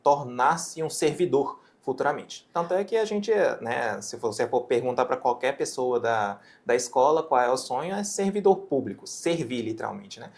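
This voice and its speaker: Man, Japanese accent, native Japanese speaker, physician and educator, measured explanations, average pitch. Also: lively intonation. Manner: measured, explanatory, often ends phrases with a questioning tag. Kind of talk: interview, explaining his teaching approach.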